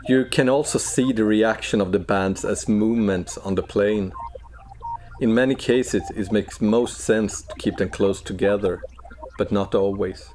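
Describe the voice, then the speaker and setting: A man in his forties narrating a scripted technical walkthrough in a measured, dry, understated way. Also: fairly low pitch, a little mellow, slight background noise. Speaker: plugin developer presenting walkthrough.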